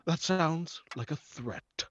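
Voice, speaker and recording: Man as a stubborn sceptical buyer, old radio drama, animated intonation, dull recording with faint noise. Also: on the high side, slow. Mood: scornful. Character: stubborn, sceptical, suspicious house buyer, a self-styled jinx breaker. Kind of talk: 1940s radio drama acting.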